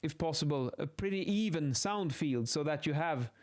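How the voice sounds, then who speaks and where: A man's voice: fairly high pitch, expressive, normal speed, darkish tone. A man giving an informal technical lesson, thinking aloud and correcting himself as he explains. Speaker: acoustics instructor explaining measurement methods. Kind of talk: informal explanatory lesson, improvised while sketching.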